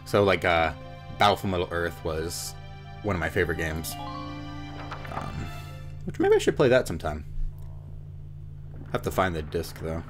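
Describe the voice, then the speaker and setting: A man's voice: sits low, very animated, unhurried, pauses often. A man giving joking, sarcastic gameplay commentary as host on a headset. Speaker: let's play host. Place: small room indoors. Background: game music.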